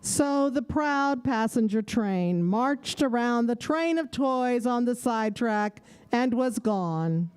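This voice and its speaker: A woman's voice: fairly high pitch, animated, slow pace, darkish tone, clean tone. A woman narrating a rhyming children's story in an audio theater style.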